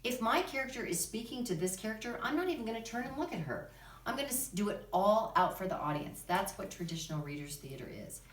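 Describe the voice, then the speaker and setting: A woman's voice: mid-range voice, expressive, fast talker. A woman drama teacher presenting and narrating for children's theater, in instructional talk and storytelling. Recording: echoey room, distant microphone.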